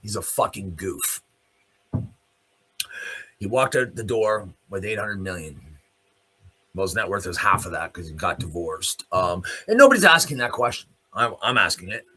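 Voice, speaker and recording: Animated man, bright raspy voice. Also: deep voice, normal speed, pauses often. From Canada. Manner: blunt, sardonic, profane, with pointed rhetorical questions. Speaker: host of a news commentary show. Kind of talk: opinionated rant, spoken off the cuff.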